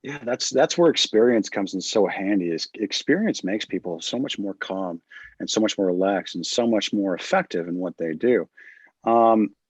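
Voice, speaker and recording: A man's voice: fairly low pitch, lively intonation, normal speed, husky, no echo. A man from the United States talking as a podcast guest, reflective and repeating words as he thinks. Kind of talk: casual conversation.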